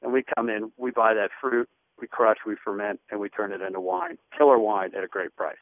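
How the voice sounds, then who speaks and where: A man's voice: on the low side, a little fast, dry recording. A man in the wine trade answering interview questions in a loose, chatty way with many fillers. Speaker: wine business guest. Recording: phone-like radio line.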